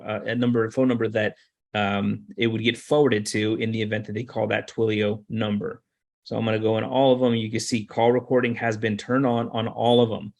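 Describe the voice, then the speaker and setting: A man with a mid-pitched voice leads an informal software demonstration. He explains each step as he goes, in a conversational way, and restates key points so they stick. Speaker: software trainer giving a demonstration. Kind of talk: informal screen-share tutorial.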